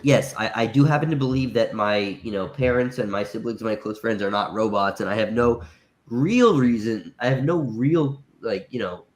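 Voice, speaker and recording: A man's voice: on the low side, moderate pace. A man with a naturally nasal voice made thicker by congestion. He rambles in a casual call-in manner, stumbling and restarting as he works through his point. He sounds like he is talking over a video call. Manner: rambling, hesitant, many restarts. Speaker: caller.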